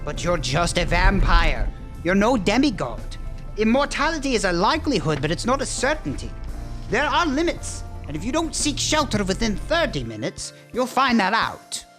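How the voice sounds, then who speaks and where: Theatrical, wildly swooping vampire count. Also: male voice, sits high, very animated, moderate pace, a bit bright, faint music behind. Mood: pleading. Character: centuries-old male vampire count, theatrical, fretful scoundrel. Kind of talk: campy horror-comedy film acting.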